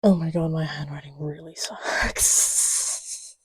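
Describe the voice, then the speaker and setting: A woman playing a playful girlfriend in an intimate ASMR roleplay, rambling casually and giggly, often dropping to soft murmurs close to the microphone. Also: deep voice, slow pace, breathy edge, no echo, full character voice, low-quality recording. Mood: absorbed, chatty. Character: giggly, playful girlfriend testing markers on boyfriend's lap.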